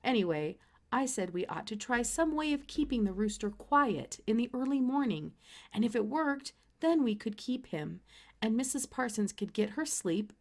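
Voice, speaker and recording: A woman's voice: a little high, a little mellow. Woman reading a children's story aloud. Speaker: storybook reader.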